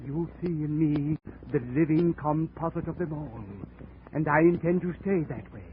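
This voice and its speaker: A man's voice: fairly high pitch, normal speed, close to an everyday voice. An actor playing a deranged, fussy older man with prim, precise diction that breaks into panicked shrieks, in 1940s radio acting. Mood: smug. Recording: tinny old radio broadcast with faint hiss.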